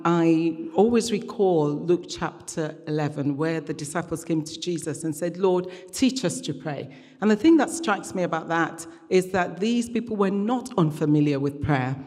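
A woman's voice: fairly low pitch, moderate pace, a little mellow. Middle-aged woman preaching in a reverberant hall. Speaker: guest preacher, regional church minister. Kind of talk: conversational church sermon and interview. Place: large church hall, live event. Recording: echoey hall with long reverb.

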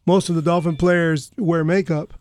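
A man's voice: a little high, steady tone, moderate pace, a little mellow, dry recording. A man hosting a radio talk show, chatting casually and joking with his co-host. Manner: joking, teasing.

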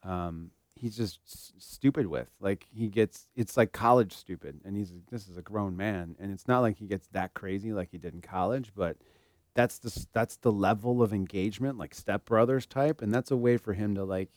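A man's voice: on the low side, moderate pace, darkish tone, no room sound, studio quality. A man co-hosting a podcast in casual, teasing, joking banter. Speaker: podcast co-host. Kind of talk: casual banter between co-hosts.

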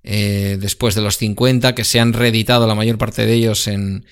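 Middle-aged man, clear resonant voice, hesitant chatter with fillers and restarts. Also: lowish voice, flat delivery, normal speed. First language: Spanish. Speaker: guest. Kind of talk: casual conversation about books.

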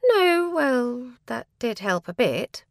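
A woman's voice: a little high, very animated, takes it slowly, no echo, clean studio sound, pitched above the performer's own voice, exaggerated voice. A woman voicing a breezy, pushy sister who gushes encouragement in storybook dialogue. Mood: chatty, defensive. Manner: emphatic, gushing encouragement. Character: Louise, breezy, pushy sister preaching positive thinking.

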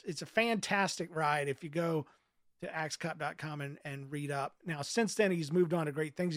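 A man speaking as an author in a casual interview, giving earnest answers in a thoughtful, halting way. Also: fairly high pitch, dry recording, studio quality.

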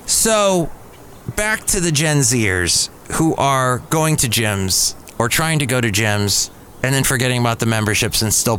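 A middle-aged man hosts a podcast live from a café, his voice sitting at an ordinary pitch for a man. He wanders from story to story, stopping to fix his own words and chuckling at himself. A faint murmur of the café hangs behind him. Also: a bit bright, a little hiss or hum. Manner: digressive, self-correcting storyteller, chuckles at himself. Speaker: podcast host. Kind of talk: casual rambling monologue. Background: faint café ambience.

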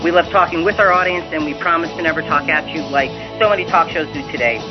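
A high-pitched male talk radio host with an energetic, restless delivery, heard over a dull, lo-fi broadcast with faint music underneath. He often repeats his words as he starts a thought, then rolls on eagerly. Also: quick pace.

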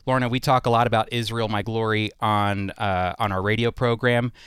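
A man's voice: on the low side, steady tone, normal speed, fairly bright tone, clean tone, dry recording. An American man hosting a radio program, presenting news and interviewing a guest at a studio microphone. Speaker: radio host. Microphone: close studio microphone.

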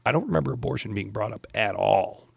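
A man in a casual podcast conversation, telling personal anecdotes in a measured, thoughtful, hedging way. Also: lowish voice, animated, gravelly, slightly rough, no room sound.

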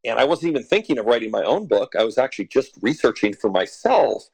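A man's voice: medium pitch, big pitch swings, fast talker, gravelly, darkish tone, slightly rough, no echo, poor audio. A man in his forties from western Canada, an author speaking as a podcast guest, thoughtful with frequent fillers and chuckles. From Alberta, Canada. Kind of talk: podcast interview.

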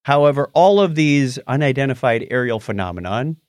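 A man's voice: mid-range voice, lively intonation, brisk, dry recording, clean studio sound. A man hosting a podcast, chatting casually through news items and explaining them in a wry, jokey way. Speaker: podcast host. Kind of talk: casual news chat with banter.

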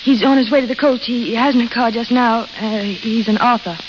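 An actress playing a kind, frank young roadside waitress in a 1930s radio drama, with a folksy way of talking. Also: female voice, fairly high pitch, moderate pace, close to an everyday voice. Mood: frank, kindly. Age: young adult. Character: plainspoken, kind young desert gas-station waitress. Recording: muffled, crackling old radio broadcast.

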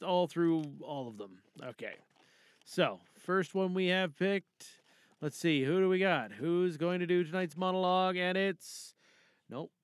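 Podcast host, bright voice, dry close sound, casual, rambling, thinking out loud. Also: medium pitch, clear, resonant tone, no room sound. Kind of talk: casual chat.

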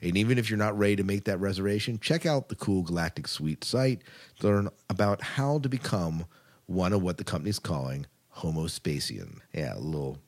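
A man with a deep voice speaks as a podcast co-host. He delivers science fiction news and commentary in a casual, conversational style. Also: animated, fairly quick, darkish tone.

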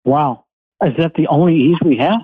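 A man's voice: fairly high pitch, moderate pace, breathy edge, no room sound. A man hosting a radio show, joking and teasing before interviewing his guest. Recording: tinny phone-like dry audio.

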